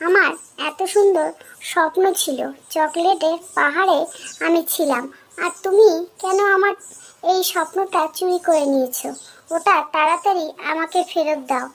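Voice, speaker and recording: Woman voicing a very high-pitched childlike cartoon boy. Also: normal speed. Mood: upset, pleading. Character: whiny young boy who loves chocolate dreams.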